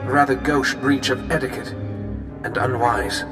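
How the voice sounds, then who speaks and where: A man plays a suave noble assassin from a sci-fi video game. His middling voice is dry and genteel, with understated menace. Music plays clearly behind him. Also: mid-range voice, steady tone, unhurried, lightly acted. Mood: dry, wry. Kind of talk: dramatic game cutscene dialogue.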